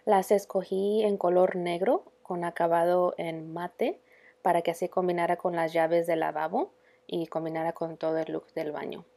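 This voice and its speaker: Low, mellow woman narrating DIY. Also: little pitch movement, moderate pace, creaky. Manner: casual, mixes in English words. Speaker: DIY home vlog host. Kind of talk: casual narration of a DIY makeover.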